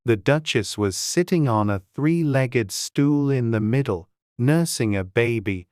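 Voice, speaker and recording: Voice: mid-range voice, expressive, measured pace, a little mellow, no room sound, clean studio sound. An audiobook narrator reading a dramatized storybook.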